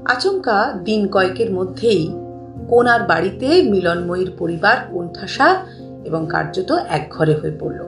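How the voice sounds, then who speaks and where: A woman's voice: mid-range voice, animated, a little slow. A woman narrating a scripted story as a dramatic storyteller. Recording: roomy, slightly distant, music bed.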